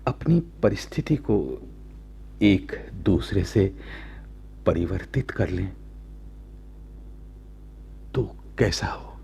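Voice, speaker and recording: Husky, deep-voiced ancient Indian commander. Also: male voice, expressive, mellow, exaggerated voice. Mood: tender, wooing. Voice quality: gravelly, husky. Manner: measured, halting, formal courtly phrasing. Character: lovestruck royal army commander of ancient India, earnest, proud. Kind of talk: slow, theatrical Hindi radio drama acting.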